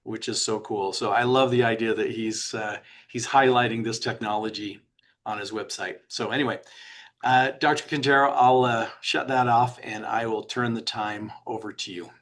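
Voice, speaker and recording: A man's voice: lowish voice, steady tone, normal speed, a bit bright. Chatty man hosting a webinar. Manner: upbeat and chatty, with fillers. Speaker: webinar host.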